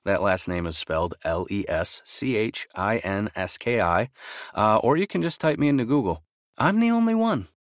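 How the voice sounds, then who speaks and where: Male guest actor, casual podcast outro, mid-pitched, lively inflection, dry close sound. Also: normal speed, dry recording.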